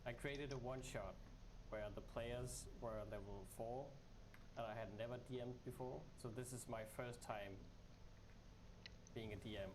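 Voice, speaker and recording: A man from Denmark explaining his homebrew game world in a hesitant, halting way, with many restarts and fillers. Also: mid-range voice, fairly even intonation. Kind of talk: casual explanatory chat. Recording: loud background noise.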